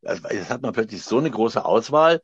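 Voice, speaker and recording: A man in his seventies answers questions by phone, hesitating and searching for his words. His voice is fairly low. The line sounds dull and dry. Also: animated, brisk, breathy edge, no room sound. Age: 70s. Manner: hesitant, laughing, hunting for words. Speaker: guest actor. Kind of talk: phone interview. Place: home, on the phone.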